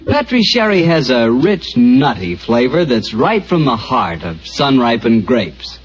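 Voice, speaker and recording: A man announcing a 1940s radio show and reading its sponsor's ad in a smooth, lively salesman delivery. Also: medium pitch, unhurried. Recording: dull 1940s radio recording.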